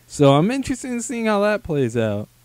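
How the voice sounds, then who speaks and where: Clear-voiced young man in casual discussion. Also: sits high, big pitch swings, normal speed, darkish tone, no echo. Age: young adult. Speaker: podcast co-host. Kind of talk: casual review commentary. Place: small indoor room. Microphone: handheld microphone.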